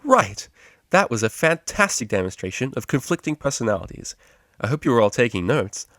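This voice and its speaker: A man's voice: medium pitch, sing-song, a little fast, a bit bright, no room sound, studio quality, voice pushed up. A man voicing an easygoing, enthusiastic male pony tutor in a storybook reading, explaining things patiently and jovially.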